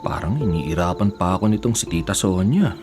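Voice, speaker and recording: A man's voice: low voice, animated, brisk, music in the background. A man playing a young adult nephew in a Filipino radio drama, politely deferential but privately exasperated. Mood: exasperated aside. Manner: deferential aloud, sardonic in asides.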